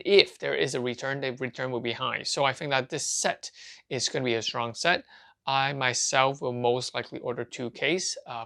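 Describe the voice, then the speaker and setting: Gravelly, forceful man rambling to camera. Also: mid-range voice. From Canada and Hong Kong. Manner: rambling, halting, many restarts and fillers. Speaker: trading card seller and channel host. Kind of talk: casual talk to camera.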